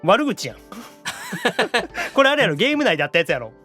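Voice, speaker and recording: Man, Kansai accent, lively pitch swings, playful podcast host, casual banter, faint music behind. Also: brisk. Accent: Kansai Japanese. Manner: playful, mock-protesting reactions. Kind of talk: casual radio-show banter, reading listener messages.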